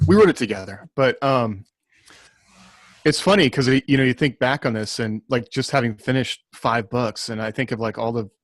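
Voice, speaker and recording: A man speaking as an author on a casual discussion panel, reflective and halting as he thinks aloud. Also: medium pitch, no echo.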